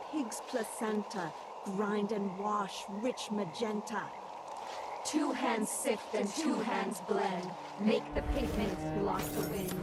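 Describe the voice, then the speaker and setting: A woman's voice: heavy background noise, close to an everyday voice. A woman voicing an eerie witch in a video game, reciting a ritual rhyme with a rhythmic, incantatory delivery. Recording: loud rumbling ambience throughout.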